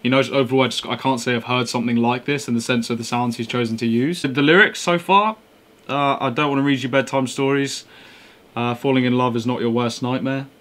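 A man's voice: mid-range voice, steady tone, brisk, a bit bright. A young man giving casual, slangy, laid-back commentary as a music reaction host, speaking in a bedroom. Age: young adult. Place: small bedroom indoors.

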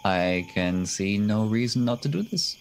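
A young man banters as a player in an online tabletop game, dry and joking. His voice is fairly low, with a little noise behind it. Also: lively intonation, measured pace. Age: young adult. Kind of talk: casual banter. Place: small room at home. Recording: faint background noise on online call.

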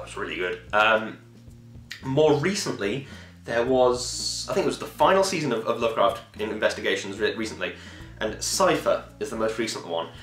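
A man's voice: medium pitch, a bit bright. Young man rambling in an echoey room. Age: 20s. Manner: rambling, enthusiastic, swears casually. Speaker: podcast host. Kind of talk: casual chat to camera. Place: small home room, indoors.

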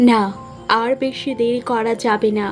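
Jealous cartoon stepmother queen played by a woman, high-pitched, scornful and scolding, over music. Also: flat delivery, a little slow, close to an everyday voice. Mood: scheming. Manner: scolding, sneering, indignant. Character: spiteful, hot-tempered younger queen, jealous scheming stepmother. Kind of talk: Bengali fairy-tale cartoon acting.

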